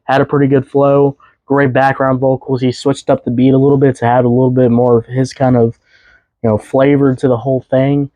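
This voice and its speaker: A young man hosting a casual music review podcast, his voice a bit hoarse, rambling loosely with fillers and restarts. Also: medium pitch, little pitch movement, brisk, warm tone, no room sound, rough recording. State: voice slightly hoarse.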